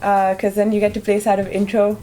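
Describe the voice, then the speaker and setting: A young woman from India, a student guest in an interview, speaking frankly with quick asides. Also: mid-range voice, little pitch movement, dark tone, poor audio. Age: young adult. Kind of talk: casual interview answers.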